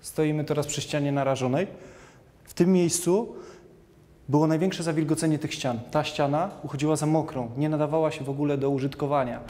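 A man's voice: a little high, fairly even intonation, fairly quick, warm tone. A young man, an engineer, explaining his work in an interview inside a brick corridor. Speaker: construction engineer being interviewed. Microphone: lapel. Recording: long echo in brick interior.

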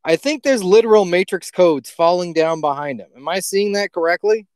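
A man's voice: high voice, normal speed, darkish tone, smooth, no echo, studio quality. A man co-hosting a podcast in casual comedic banter, speaking in a mocking, incredulous and profane manner. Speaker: podcast co-host.

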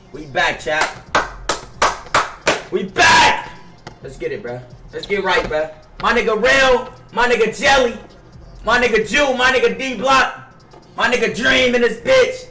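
A young man livestreaming to his chat, brash and combative, with heavy slang and swearing. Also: high-pitched. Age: young adult. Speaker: gaming livestreamer. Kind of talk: casual livestream chat to viewers. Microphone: gaming headset. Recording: roomy, distant sound under loud music. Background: loud hip hop music.

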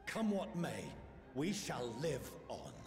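A proud, imperious councilman from a fantasy game. He declaims solemnly and very slowly with sweeping intonation, his words ringing in a long hall reverb. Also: mid-range voice, huge pitch swings. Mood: resolute. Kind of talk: scripted video game acting. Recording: echoey hall reverb, music behind.

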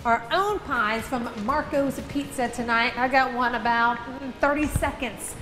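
A woman's voice: high-pitched, unhurried, a bit bright, big-room sound. A woman co-hosting a TV cooking segment, chatty and teasing. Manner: bubbly, teasing. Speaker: TV co-host. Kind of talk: chatty studio banter. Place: TV studio kitchen set.

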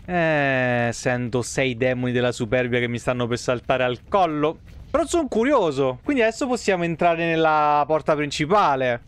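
Animated higher-voiced man streaming. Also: moderate pace, clear, resonant tone. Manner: animated, swearing, exclamatory. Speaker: live streamer. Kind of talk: casual game commentary. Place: indoor room, webcam stream. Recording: game sound behind the voice. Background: game effects and ambience.

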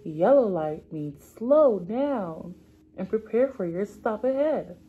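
Slow, husky, animated woman reading to kids. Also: medium pitch, narrow, tinny audio. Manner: simple, repetitive phrasing for young children, with stretched-out words. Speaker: preschool teacher. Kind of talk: children's read-aloud lesson. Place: indoor classroom.

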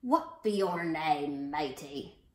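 A woman voicing a hearty, commanding male pirate captain in a storybook, with drawn-out old-time pirate speech. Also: sits low, lively intonation, darkish tone, echoey room, pitched below the performer's own voice. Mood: approving.

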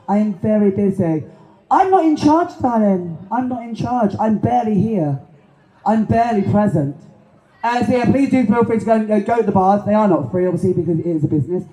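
A man's voice: high-pitched, reverberant, poor audio. A man, performing in drag on a Pride stage and bantering with the crowd through a handheld mic in a chatty, self-mocking, camp way. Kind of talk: live stage banter between songs. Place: stage at an outdoor Pride event. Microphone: handheld stage microphone. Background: loud guitar music.

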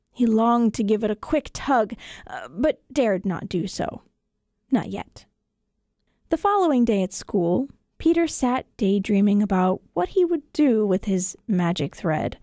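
A woman narrates children's folktales as a storyteller. Her voice is fairly high and moves around with lively expression, recorded dry and close in a studio. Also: dry recording. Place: radio studio.

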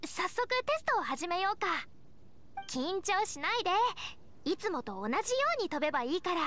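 A woman's voice: extremely high pitch, very animated, moderate pace, fairly bright tone, a little hiss or hum, exaggerated voice. A woman playing a cheerful, eager young knight scout in an anime game, girlish, chirpy and earnest. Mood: encouraging. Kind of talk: Japanese anime game voice acting.

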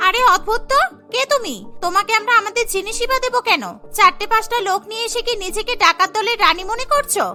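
A cheeky college girl, voiced by a woman with a very high, sharp, bright voice. She sounds whiny and outspoken in a cartoon drama, over music. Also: fast talker, heavily acted. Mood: indignant.

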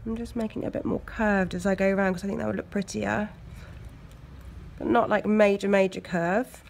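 A woman with an English accent, hoarse from a cold, chatting casually as she talks through a nail art tutorial. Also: mid-range voice, little pitch movement, brisk, a little hiss or hum. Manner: chatty, loose, lots of fillers. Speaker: nail technician. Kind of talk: step-by-step tutorial narration.

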